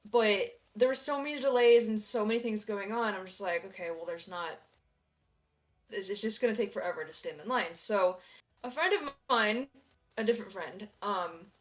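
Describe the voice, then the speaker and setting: A woman's voice: medium pitch, moderate pace. A woman chatting casually on a livestream, telling personal anecdotes in a rambling, conversational way.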